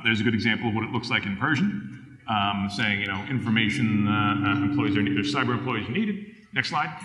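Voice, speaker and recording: A man's voice: lowish voice, pushed and bright. A man in his thirties giving a conference talk from a podium on a large stage, casual and full of fillers, often asking for the next slide. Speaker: conference speaker. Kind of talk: conference presentation.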